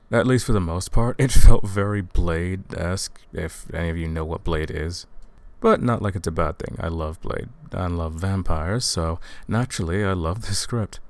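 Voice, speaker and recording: Low-voiced man, upbeat and chatty. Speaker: host, voice actor. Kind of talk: casual outro to viewers.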